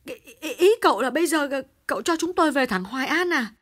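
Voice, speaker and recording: A man voicing an anxious old mother who stammers and speaks fast in a shrill falsetto. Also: lively intonation, sharp tone, heavily acted. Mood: startled.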